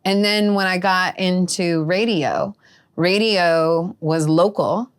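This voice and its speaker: A middle-aged woman from the Seattle area, telling stories as an interview guest in a relaxed, reflective way, laughing easily. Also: fairly low pitch, slow, clean tone. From Seattle area, USA. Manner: warm, laughs often, reflective storytelling. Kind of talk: casual conversation.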